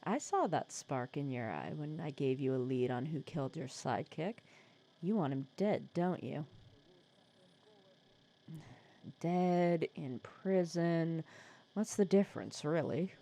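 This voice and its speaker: A woman acts out a sly female supervillain with a soft spot, in an intimate ASMR audio roleplay. Her voice is lowish and very breathy, with lively swings in pitch. She talks in a casual, teasing, persuasive way, sardonic but caring underneath. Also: slow pace, long pauses, full character voice. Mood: teasing, coaxing.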